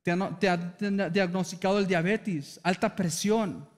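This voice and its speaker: A man with an Argentine accent preaches earnestly from a church pulpit, his voice sitting high. He calls out to the congregation for amens. The sound rings with the long reverb of a big hall. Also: steady tone, measured pace. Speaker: pastor. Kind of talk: sermon. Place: church sanctuary.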